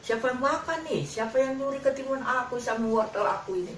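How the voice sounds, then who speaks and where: A woman's voice: a little high, expressive, fairly quick, pitched above the performer's own voice, close to an everyday voice. A woman playing a simple male farmer in a children's fable, sing-song and exclaiming. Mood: shocked, indignant. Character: simple male farmer, proud of his vegetable garden. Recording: roomy, distant mic, faint noise.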